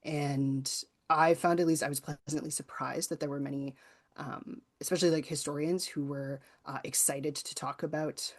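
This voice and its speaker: Low-voiced woman giving thoughtful advice. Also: fairly even intonation, moderate pace. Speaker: workshop presenter and writer. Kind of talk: informal advice in an online workshop.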